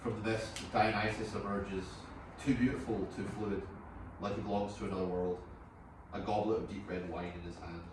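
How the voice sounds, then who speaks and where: A man's voice: on the low side, little pitch movement, moderate pace. A man reading stage directions as scripted narration at a table read. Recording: distant echoey room, clear background noise.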